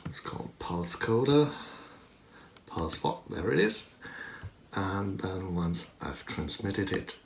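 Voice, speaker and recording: A man with a fairly low voice talks through a hands-on tutorial, thinking aloud as he operates the equipment. The recording is roomy and echoey, with faint noise behind him. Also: big pitch swings, takes it slowly, creaky, long pauses. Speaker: demonstrator of a synthesizer patch. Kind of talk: hands-on tutorial talk, unscripted. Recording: roomy echo, noticeable background noise, clicks.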